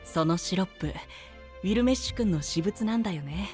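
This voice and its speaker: Mild, caring young twin. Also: male voice, high voice, animated, a little fast, soft background music. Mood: gentle. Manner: gentle, polite, casual. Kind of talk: Japanese anime-style voice drama.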